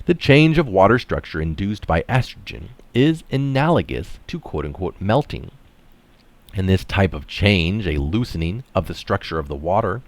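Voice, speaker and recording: A man reading an article aloud, stressing phrases and adding casual asides of his own. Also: sits low. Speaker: article reader and commentator.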